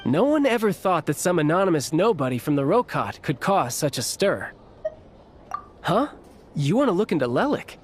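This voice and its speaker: A confident young officer from a game cutscene. He has a fairly high male voice with a chummy, glib, assured delivery. Light music sits behind him. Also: very animated, normal speed. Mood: breezy, amused. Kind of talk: video game cutscene acting.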